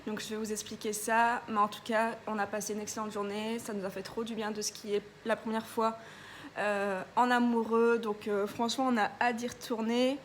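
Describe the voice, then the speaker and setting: A woman's voice: a little high, flat delivery, normal speed, slight background noise. A young woman vlogger telling a story in a casual, chatty way, with many hesitations and a stuffy nose from a cold. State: congested, a bit ill.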